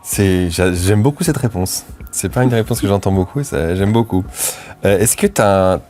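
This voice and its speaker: Un homme qui mène une interview et pose des questions courtes et amicales, sur un ton décontracté. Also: male voice, low-pitched, expressive, normal speed, darkish tone, soft background music. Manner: friendly, short questions with fillers.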